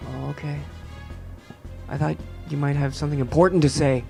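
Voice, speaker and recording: A man's voice: fairly high pitch, steady tone, normal speed, vocal fry, darkish tone, soft background music. A man giving casual, profane game commentary as a let's play host, irreverent and sardonic.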